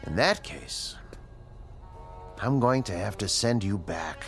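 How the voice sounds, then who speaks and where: An actor playing an educated, earnest scientist in a radio drama, American, measured and questioning. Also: male voice, on the low side, expressive, soft background music. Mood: grave, probing. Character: earnest scientist, educated, patient, morally probing male doctor.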